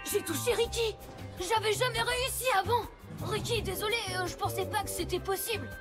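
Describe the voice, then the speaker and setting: A woman's voice: very high-pitched, fairly quick. A woman voicing a playful child motorbike in a French-dubbed preschool cartoon, with a dramatic, emphatic, play-acting delivery. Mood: surprised. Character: young child motorbikes, playful, melodramatic little play-actors. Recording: music behind the voice.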